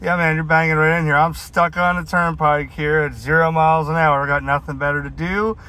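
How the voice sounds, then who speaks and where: Male mobile CB caller, bright forceful tone, fairly high pitch, chatty and slangy over the radio. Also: steady tone, a little fast, slight background noise. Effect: heard over CB radio. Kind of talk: casual CB radio chat. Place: inside a vehicle on a highway.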